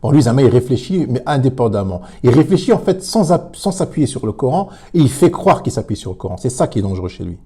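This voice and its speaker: Husky guy arguing religion, keeps restarting words, warm low tone. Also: big pitch swings, fast talker, hardly any pauses. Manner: emphatic, hesitant with many repeats and fillers. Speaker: commentator reacting to a clip. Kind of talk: religious commentary video.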